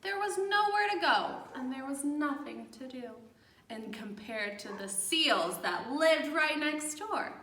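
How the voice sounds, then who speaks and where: A woman's voice: sits high, expressive, unhurried, sharp tone. A woman reading a picture book aloud to young children as a teacher, expressively, explaining as she goes and gasping for effect. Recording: echoey room, distant microphone.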